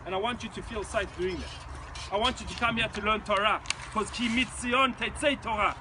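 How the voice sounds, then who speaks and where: A man's voice: high-pitched, steady tone, moderate pace, background noise. A middle-aged man with a South African accent giving an earnest, emphatic motivational speech to a visiting group outdoors. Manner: earnest, emphatic, acts out quoted shouting. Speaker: speaker addressing a visiting group.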